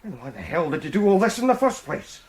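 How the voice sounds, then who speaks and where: An actor in an audio drama playing a stiff, well-meaning colonial husband who stammers and gets flustered. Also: male voice, high voice, very animated, fast, darkish tone. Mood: blustering. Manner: stammering, blustering.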